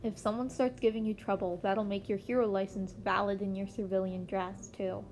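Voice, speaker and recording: A woman voicing a grown male hero in an amateur audio story, weary and protective, gently scolding in a matter-of-fact way, with music underneath. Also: mid-range voice, flat delivery, moderate pace, a little hiss or hum, lightly acted. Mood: resigned.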